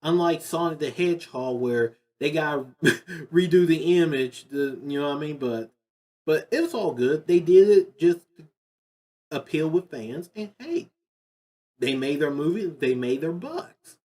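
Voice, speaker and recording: A man's voice: on the high side, animated, unhurried, mellow. A man giving casual, unscripted commentary as a video host, rambling and emphatic, often repeating his phrases. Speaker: video host ranking video game movies.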